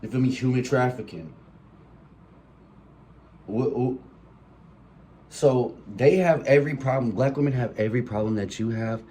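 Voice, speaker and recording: A man's voice: mid-range voice, moderate pace, long pauses, mellow. Sniffling young man, passionate preaching. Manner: passionate, profane, repetitive preaching. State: sick and sniffling. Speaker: podcast host. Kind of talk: solo podcast monologue. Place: room with colored lights. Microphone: phone.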